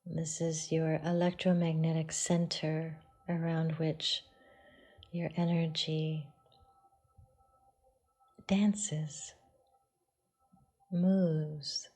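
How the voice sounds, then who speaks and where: Woman, meditation guide, low-pitched, even and unvarying intonation, soft hushed delivery, frequent pauses. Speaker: yoga and meditation teacher.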